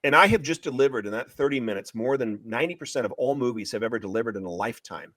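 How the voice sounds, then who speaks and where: A man talking as an interviewed author and screenwriter, retelling a story in an excitable, emphatic, repetitive way. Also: medium pitch, fast talker, no room sound. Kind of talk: animated promotional interview talk, retelling a story.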